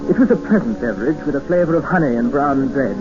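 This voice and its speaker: An actor playing an educated English captive, narrating and speaking in an earnest, formal, dramatic 1950s radio style. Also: male voice, mid-range voice, lively intonation, fast, lightly acted. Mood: grave, reflective. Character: desperate, educated male English captive expedition member, narrating. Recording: muffled old radio recording with music.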